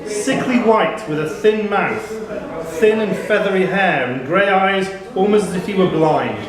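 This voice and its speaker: A high-pitched man hosts a live pub quiz, reading out questions. His voice rings around a very echoey room, with a crowd audible behind him. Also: measured pace. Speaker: quiz host and question reader. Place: indoor pub quiz venue.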